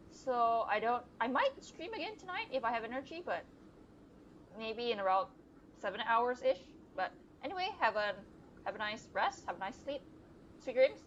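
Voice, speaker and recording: A woman's voice: a little high, fairly bright tone, muffled recording, slight background noise. A woman giving casual live game commentary as a streamer, chattily reacting aloud to the game and her chat. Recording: game music behind the voice. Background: game music.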